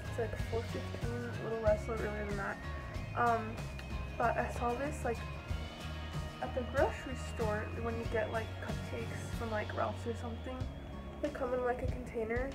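A woman's voice: fairly high pitch, rough recording. A young woman talking casually to the camera while baking in her home kitchen, rambling and chatty with lots of fillers. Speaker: vlogger. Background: background music.

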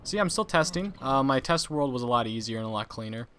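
A young man casually commenting on his own gameplay, chatty and quick to laugh at his mistakes. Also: medium pitch, lively intonation, fairly bright tone, a little hiss or hum. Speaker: gaming channel host.

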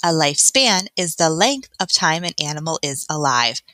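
Woman, schoolteacher read-aloud, very lively intonation, slightly low pitch, expressive, instructional. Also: moderate pace, dry recording. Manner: clear, expressive, instructional delivery for children. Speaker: elementary school teacher. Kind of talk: educational read-aloud with explanatory asides.

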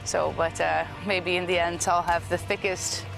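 A woman's voice: on the low side, flat delivery, moderate pace, gravelly, music in the background, low-quality recording. A woman from the Netherlands whose first language is Dutch, talking in a thoughtful, friendly way and sometimes searching for words, in a documentary interview. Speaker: band vocalist.

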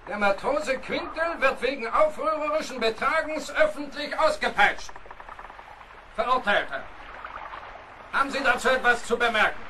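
High-pitched, commanding, scornful ship's captain. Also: male voice, lively intonation, moderate pace. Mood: stern command. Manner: commanding, cutting, barking orders. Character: harsh, scornful naval captain of a sailing ship. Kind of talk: audio drama acting. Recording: noisy, distant-sounding radio play scene.

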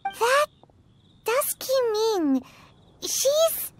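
A woman voicing a tiny childlike fairy companion in a video game, chirpy, nosy and excitable, with music behind her. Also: very high-pitched, very slow, slight background noise, exaggerated voice. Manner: excitable, talks of herself in third person. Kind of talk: video game character acting.

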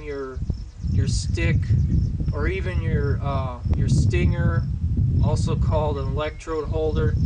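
A young man explaining welding safety and technique outdoors by a garage, pausing often with ums and restarts, recorded on a camera mic from a few steps away. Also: fairly high pitch. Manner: halting, many ums and restarts. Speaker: welding instructor. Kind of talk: casual how-to demonstration. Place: outdoors at an open garage door. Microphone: camera mic from a distance. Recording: faint outdoor insect noise. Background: crickets and insects outdoors.

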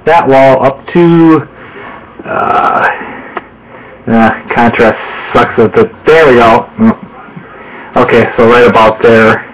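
Halting man with noisy home-video sound. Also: mid-range voice, lo-fi sound. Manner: halting, rambling, self-correcting. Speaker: hobbyist video host. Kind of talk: casual handheld show-and-tell narration. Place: small room at home, indoors. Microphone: handheld camera microphone.

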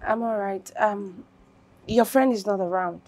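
An actress with a Nigerian accent playing a young house help, polite and hesitant, in a naturalistic screen drama. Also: female voice, mid-range voice, measured pace, a little mellow, lightly acted. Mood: reluctant.

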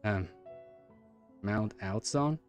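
A man's voice: on the low side, extremely slow. A man in his thirties, a streamer giving casual live commentary on a game. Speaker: gaming streamer. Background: game music.

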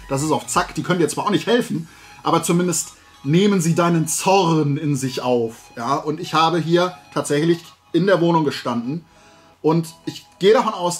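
High-pitched man chatting with sarcastic asides. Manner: animated storytelling with sarcastic asides. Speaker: host of a talk and toy channel. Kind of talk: casual chat, telling an anecdote to camera. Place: small room at home with shelves of collectibles.

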